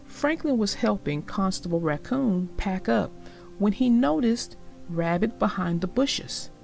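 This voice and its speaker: Animated woman reading stories to children. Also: medium pitch, measured pace, vocal fry, soft background music. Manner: addresses young listeners directly, explaining the lesson. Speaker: children's storybook narrator. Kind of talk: expressive read-aloud storytelling for children.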